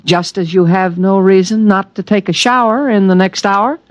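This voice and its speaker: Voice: mid-range voice, normal speed, no echo, lightly acted. An actor in a 1950s radio drama playing an invisible alien protector who talks in a precise, solemn, insistent way. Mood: earnest.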